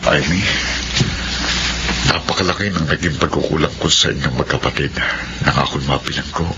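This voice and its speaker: A man's voice: a bass voice, normal speed, loud music behind the voice. An actor playing a remorseful ex-convict father, pleading and defensive, in a Filipino radio drama. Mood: remorseful, hopeful. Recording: dull, noisy radio drama audio.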